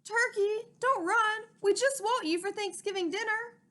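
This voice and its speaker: A woman's voice: very high-pitched, a little slow, fairly bright tone, voice pushed up. A woman voicing a kindly, eager male moose from a children's storybook, in an earnest, hearty and emphatic manner. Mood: calling eagerly.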